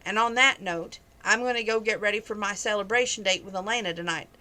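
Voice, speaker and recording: A woman's voice: mid-range voice, a little fast, pushed and bright, lightly acted. A woman reading as an easygoing young cowboy.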